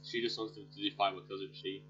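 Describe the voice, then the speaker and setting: A woman's voice: very deep voice, level tone, fast, background noise. A woman giving casual let's play commentary as host of a gaming channel, on a lo-fi voice track. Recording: dull lo-fi voice track. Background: faint game music.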